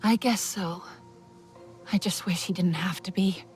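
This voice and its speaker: An actress playing an earnest, caring young female alien fighter in a video game, with a gentle and sincere manner. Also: on the low side, fairly even intonation, a little slow, faint music behind, close to an everyday voice. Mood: grieving. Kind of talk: video game character acting.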